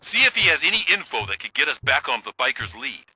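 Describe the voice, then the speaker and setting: An actor playing a police colleague, brisk and teasing, with an informal, needling manner. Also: male voice, mid-range voice, sing-song, pushed and bright, husky, heavily acted, low-quality recording. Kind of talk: video game dialogue acting.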